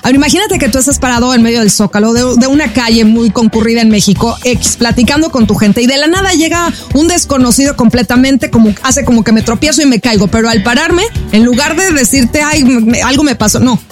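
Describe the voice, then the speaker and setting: A woman's voice: on the high side, quick pace. Chatty Mexican woman radio host. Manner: chatty, slangy, upbeat, talks straight to listeners. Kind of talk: lively casual radio chat. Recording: radio broadcast with music underneath. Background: music.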